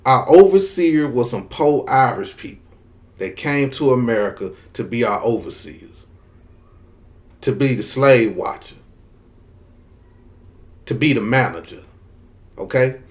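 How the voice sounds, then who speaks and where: A man's voice: medium pitch, animated, unhurried, pauses often, a little mellow. A man from Mississippi with a Southern drawl, giving emphatic, repetitive opinion commentary straight to his viewers. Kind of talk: casual opinion commentary to camera.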